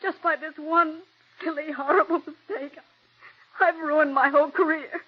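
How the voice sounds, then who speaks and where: An actress playing a young, ambitious stage actress who pleads tearfully and breathlessly, in 1940s radio drama style. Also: female voice, sits high, fairly even intonation, normal speed, natural voice. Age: young adult. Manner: breathless, pleading, breaks into sobs. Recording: dull old radio broadcast.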